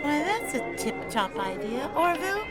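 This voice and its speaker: A spirited 1920s sweetheart. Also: female voice, sits high, very animated. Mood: teasing. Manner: brisk, teasing 1920s talk. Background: music.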